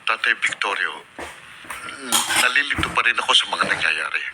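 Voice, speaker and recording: A man's voice: on the low side, expressive, normal speed, lightly acted. An actor playing an anguished, devoted young father in a Filipino radio drama, speaking earnestly and dramatically. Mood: pleading, determined. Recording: noisy radio drama recording.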